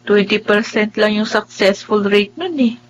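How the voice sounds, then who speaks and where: A woman playing a sweet, playful but resigned blind young wife in a Filipino radio drama, with expressive delivery. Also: medium pitch, unhurried, dull audio, lightly acted. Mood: resigned, gentle.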